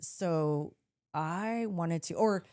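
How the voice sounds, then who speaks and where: A woman with a deeper voice co-hosting a podcast, chatting casually, reading out listener messages and laughing as she swears playfully. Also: drawn-out words, darkish tone. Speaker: podcast co-host. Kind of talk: casual chat.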